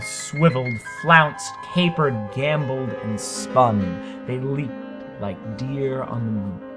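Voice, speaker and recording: A man narrating a short story as a dramatic reading, with theatrical, emphatic delivery. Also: mid-range voice. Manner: theatrical, emphatic, deliberate phrasing.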